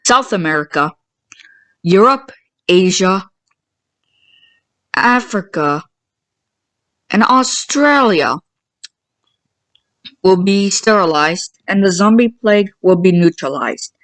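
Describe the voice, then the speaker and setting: Slow-paced woman announcing emergency warnings. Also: mid-range voice, expressive, fairly bright tone. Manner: formal, impersonal, measured. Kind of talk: scripted emergency alert announcement.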